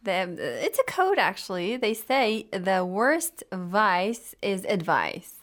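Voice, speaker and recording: A woman's voice: mid-range voice, very animated, a bit bright. Formal woman radio host. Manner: measured, formal, pauses to find words. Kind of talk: hosting a radio discussion.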